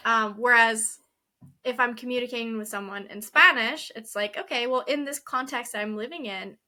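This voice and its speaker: A woman's voice: on the high side, moderate pace, pushed and bright. A woman hosting a casual interview, talking in a reflective, chatty way with plenty of "um" and "like" fillers. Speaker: interview host. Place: lives in Quebec.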